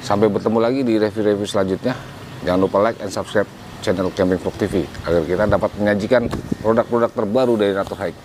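A man in his forties casually reviewing a camping air mattress for viewers, relaxed and chatty, recorded indoors at home on a camera mic a few meters away. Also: lowish voice, quick pace, background noise. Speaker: product reviewer.

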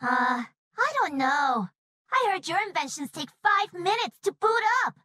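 An actress voicing a cheeky, perky young mech pilot in a video game, teasing and lively. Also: female voice, very high voice, measured pace, no room sound, full character voice.

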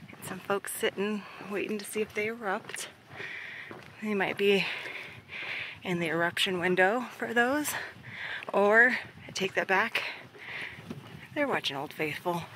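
A woman's voice: medium pitch, a little slow, long pauses, pushed and bright. A young woman casually narrating a hike outdoors, chatty and full of fillers, filmed with a camera at arm's length. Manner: chatty, pointing things out, filler-heavy. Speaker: vlogger hiking on her honeymoon. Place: outdoors on a boardwalk trail. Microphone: camera held at arm's length. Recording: outdoor camera audio with wind noise.

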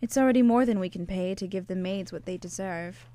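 A woman's voice: mid-range voice, brisk, warm tone, no echo, natural voice. A woman playing a plain-spoken, tart young American barmaid in radio drama dialogue. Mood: matter-of-fact.

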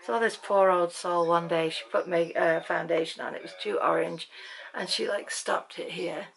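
A woman in her fifties from Britain with an English accent, chatting to a handheld camera in a dim room at night. Her voice is croaky, and she rambles and laughs often. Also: lowish voice, fairly even intonation, normal speed, a bit bright, low-quality recording. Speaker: vlogger. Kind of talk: casual chat to camera. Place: bedroom at night, dim lamp light. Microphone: handheld camera at arm's length.

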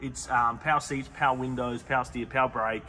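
A man hosting a casual car showroom walkthrough, talking enthusiastically with relaxed fillers. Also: medium pitch, fairly quick. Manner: laid-back, enthusiastic car talk with fillers. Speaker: car dealer host. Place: large indoor car showroom. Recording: roomy reverb, mic far off, background noise.

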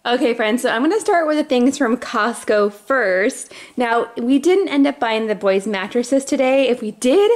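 A woman's voice: fairly high pitch, lively intonation, moderate pace, few pauses. A woman in her thirties chatting casually to her viewers at home, upbeat and friendly while showing groceries to the camera. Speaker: family vlogger. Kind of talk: casual grocery haul chat. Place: home, indoors. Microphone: camera mic, off-screen speaker filming products.

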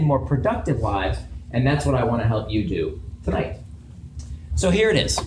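Man giving a talk in an echoey room. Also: fairly high pitch. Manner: conversational, asks the audience questions. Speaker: guest speaker. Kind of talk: conference talk to a live audience. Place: large open office event space with audience. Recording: echoey room with background noise.

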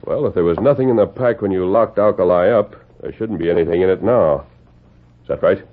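An American actor playing an upright, authoritative masked cowboy hero in a vintage radio western, speaking firmly. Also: male voice, sits low, a little fast, lightly acted. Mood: commanding. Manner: firm, commanding, clearly spoken. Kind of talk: 1940s radio western acting. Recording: tinny, noisy vintage radio recording.

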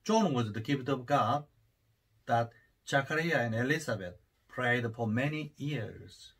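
A priest in his forties with a Korean accent gives a homily to camera in his study. He speaks unhurriedly, pauses often on fillers, and grows more fervent at times. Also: medium pitch, animated, fairly bright tone, husky. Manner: unhurried, many hesitations, rising fervor. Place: study with bookshelves, indoors. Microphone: camera at desk distance.

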